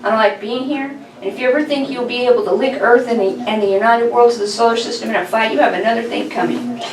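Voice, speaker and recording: A woman's voice: fairly high pitch, steady tone, quick pace, close to an everyday voice. A woman playing a defiant young boy held captive, at a script read. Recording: echoey room, distant mic, noisy.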